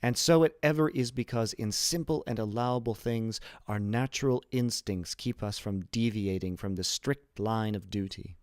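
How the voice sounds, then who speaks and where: A man voicing a learned, principled old imprisoned abbé in a deliberate, formal, lecturing manner for an audiobook. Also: on the low side, darkish tone, dry recording, studio quality, natural voice. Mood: earnest, lecturing. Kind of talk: audiobook character reading.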